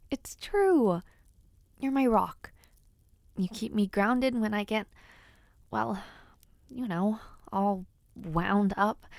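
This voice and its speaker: A woman acts out a young highborn girl in an intimate fantasy audio drama. She speaks slowly and softly, with a sweet, playful temper and small hesitations. Her mid-pitched voice sits in a very dry, intimate recording. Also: creaky, no room sound. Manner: soft, hesitant, gently teasing.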